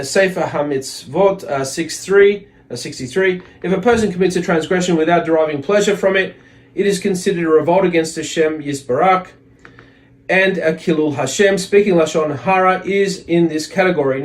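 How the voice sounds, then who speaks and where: Higher-pitched man teaching a religious lesson. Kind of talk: religious lesson, reading a text aloud with commentary.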